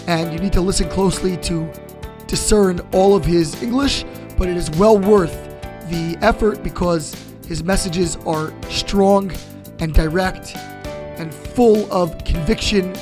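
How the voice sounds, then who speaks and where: A man with a raspy, strained voice, reading a podcast introduction and interviewing a guest. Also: high voice. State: strained, hoarse voice. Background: music.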